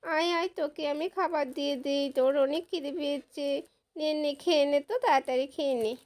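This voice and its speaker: A woman's voice: high voice, steady tone, a little fast, a little mellow, a little hiss or hum, voice pushed down, natural voice. A woman voicing a caring young mother in a doll puppet story, fussing and nurturing, repeating her words. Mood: tender, fussing. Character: caring young mother tending a child and duckling.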